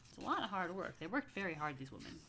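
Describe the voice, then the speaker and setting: A woman's voice: lowish voice, slight background noise. A woman reading a picture book to young families as a rabbi, stretching key phrases expressively and slipping into chatty asides. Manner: expressive, slow emphatic storytelling with chatty murmured asides. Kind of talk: children's story read-aloud with casual asides.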